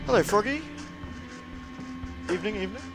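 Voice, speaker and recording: A British man chats casually to his livestream audience in a fairly high voice that swings widely in pitch. He is friendly and informal, addressing viewers one by one. Music plays clearly behind him. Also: animated, fairly quick. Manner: chatty, friendly, informal with mild swearing. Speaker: live streamer, host. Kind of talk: casual livestream chat, greeting and answering viewers. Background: moody music.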